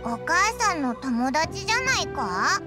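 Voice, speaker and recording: Anime game sidekick: tiny eager fairy, extremely high childlike pitch, swooping and cheeky, music prominent. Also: female voice, very animated, a little slow. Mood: curious. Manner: blunt, boyish casual speech, perky. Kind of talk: Japanese anime game voice acting.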